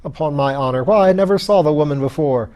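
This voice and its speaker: A man voicing a pompous, irritable, hard-drinking skeleton lord in an audiobook, rough and blustering in old-fashioned aristocratic speech. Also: fairly high pitch, fast talker, warm tone, voice pushed up, natural voice. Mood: blustering, confused. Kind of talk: audiobook character voice.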